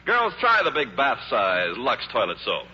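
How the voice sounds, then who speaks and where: An extremely bright, forceful man's voice rings with long reverb on a dull vintage radio broadcast. He swings his pitch widely through a polished, emphatic fifties advertising pitch. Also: wildly swooping pitch, unhurried, a little hiss or hum. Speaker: commercial announcer.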